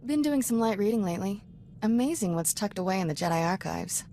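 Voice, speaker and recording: A woman's voice: mid-range voice, lively intonation, fast, warm tone, a little hiss or hum, natural voice. A woman playing a bookish, flirtatious Jedi companion in a video game, with a casual, clipped, teasing delivery. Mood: playful. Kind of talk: video game dialogue acting.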